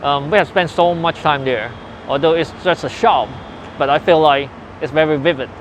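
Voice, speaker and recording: A man's voice: a little high, noisy background. Earnest man with Hong Kong English accent. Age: 40s. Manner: earnest, unhurried, loose grammar. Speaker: interviewee. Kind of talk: personal reminiscence in interview. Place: old building where his family lived and worked.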